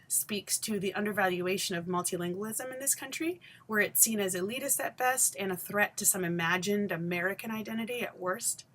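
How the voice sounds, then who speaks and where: A woman from Haiti in her forties delivers a scripted essay straight to camera. Her delivery is measured and emphatic, with pointed stresses and deliberate pauses. Her voice sits at an ordinary middle pitch for a woman. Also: fairly bright tone. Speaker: vlogger and education scholar.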